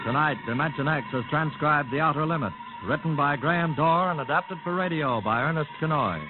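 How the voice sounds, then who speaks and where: A male announcer with a formal, polished delivery, from an old radio broadcast. His voice sits a bit high. The sound is narrow and thin, with music playing clearly behind him. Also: a little fast. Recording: thin 1950s radio transcription.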